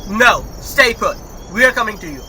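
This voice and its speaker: A man's voice: sits high, very animated, moderate pace, noisy background. A man playing a vain, brave starship captain in a sci-fi comedy audio drama, commanding and melodramatic. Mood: heroic, self-important.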